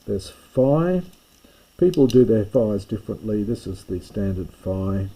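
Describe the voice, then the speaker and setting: A man's voice: lowish voice, expressive, a little slow, mellow, breathy edge, rough recording. A man teaching a lesson, plainly explaining with fillers and stressing each new letter name. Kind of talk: classroom lesson on a whiteboard.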